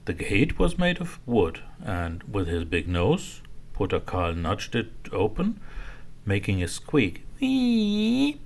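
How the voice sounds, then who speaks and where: A man narrating a children's bedtime story in a simple, expressive way for small children. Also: low voice, slow, breathy edge.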